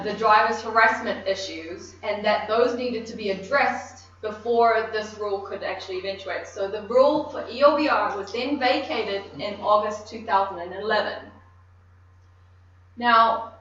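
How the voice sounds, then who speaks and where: A woman with a New Zealand accent giving a technical conference presentation, measured and explanatory with frequent ums. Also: a little high, fairly bright tone, slight background noise. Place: conference room. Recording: echoey room, distant mic, dull.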